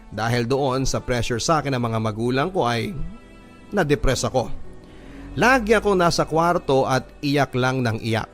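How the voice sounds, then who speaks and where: A man's voice: medium pitch, animated, moderate pace, a bit bright. A man with a Filipino accent reading a listener's letter as dramatic first-person radio narration. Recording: music bed under the voice.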